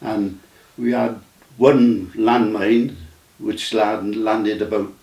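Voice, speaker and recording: A man, recalling his memories in a casual, halting way with many restarts. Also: fairly low pitch, little pitch movement, gravelly, darkish tone, highs cut off. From Wales. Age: 90s. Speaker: interview guest. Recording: roomy sound from a distant mic.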